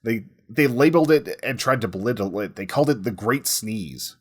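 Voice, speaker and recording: A man with a husky voice telling history conversationally, as a podcast host. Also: fairly low pitch, animated, moderate pace.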